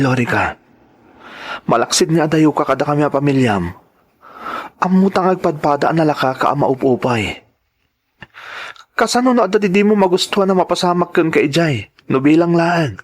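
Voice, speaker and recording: A man's voice: on the high side, lively intonation, a little fast, long pauses. Man acting as Rodel, grave protective Ilocano husband. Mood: worried, insistent. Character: Rodel, protective, dutiful husband and tricycle driver. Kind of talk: Ilocano radio drama acting.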